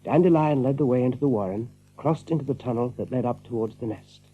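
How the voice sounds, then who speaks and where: A man with a husky voice, playing a thoughtful, knowing rabbit storyteller in a dramatized audiobook. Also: mid-range voice, fast talker, phone-quality sound, lightly acted. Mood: narrating.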